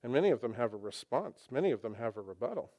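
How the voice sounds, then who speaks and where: A male preacher delivering a sermon through a microphone to a live congregation. He is conversational and animated, with quick emphatic asides. His voice sits at an everyday middle male pitch.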